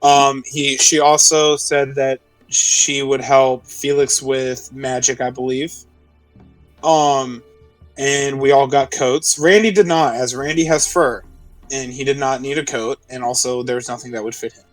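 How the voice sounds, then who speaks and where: A man's voice: medium pitch, fairly even intonation, measured pace, no echo, distant mic, rough recording. A man playing a tabletop roleplaying game, casually recapping the session and checking details with the others as he goes. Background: music.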